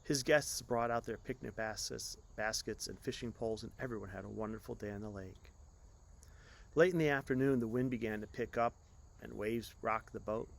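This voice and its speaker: A man reading a children's-style story aloud as an expressive storyteller. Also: fairly low pitch, normal speed, creaky. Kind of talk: expressive scripted story narration.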